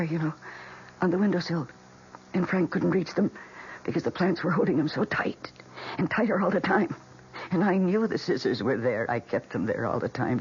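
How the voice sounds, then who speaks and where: A woman's voice: sits low, a little mellow. A woman with an American accent playing a fragile, obsessive young housewife in a radio drama, with intense, dramatic delivery. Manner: intense, dramatic, breathy emphasis. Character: fragile, obsessive housewife confessing her killer plants' deed. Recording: dull, noisy old radio recording.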